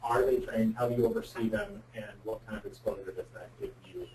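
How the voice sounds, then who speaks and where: A man's voice: lowish voice, fairly even intonation, brisk, a little mellow. A man giving candid, plain-spoken explanations as a guest expert on a web conference call. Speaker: guest speaker, insurance representative. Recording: roomy, distant mic with faint noise.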